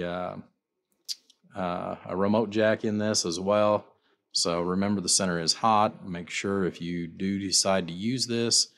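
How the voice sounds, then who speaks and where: A man with a very deep voice walks through an informal product demonstration. He speaks as the builder and seller, rambling and leaning on repeated stock phrases as he explains. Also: a bit bright. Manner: rambling, repeats "you know" and "like I said". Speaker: amplifier builder and seller presenting his work.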